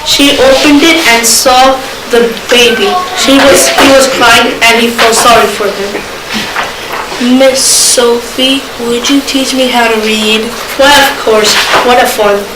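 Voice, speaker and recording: High-voiced woman reading a script, distant. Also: fairly even intonation, a little slow. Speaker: student performer. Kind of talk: scripted readers theater, read aloud. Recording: noisy echoey room, distant mic.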